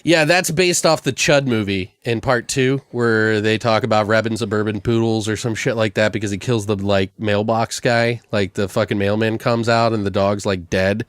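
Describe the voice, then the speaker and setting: A man's voice: fairly low pitch, steady tone, moderate pace, hardly any pauses, smooth, no echo. Casual, foul-mouthed male podcast host, dry sound. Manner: profane, slangy, rambling. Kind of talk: casual comedic banter, improvising a movie pitch.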